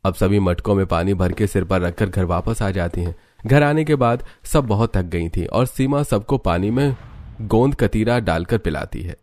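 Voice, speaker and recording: A man's voice: on the low side, moderate pace, a little mellow, no echo. A man reading scripted narration for a cartoon village story.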